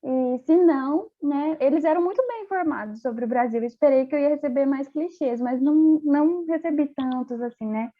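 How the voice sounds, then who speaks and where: Young Brazilian woman guest, chatty, laughs a lot, high voice, tinny phone-like sound. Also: a little fast, few pauses. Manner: chatty, laughs often, many fillers. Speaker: interview guest, former exchange student. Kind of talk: casual interview chat.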